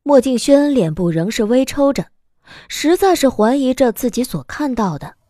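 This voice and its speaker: A woman's voice: sits high, big pitch swings, normal speed, mellow, no room sound, studio quality. A woman narrating a scripted story as the narrator of an audio drama.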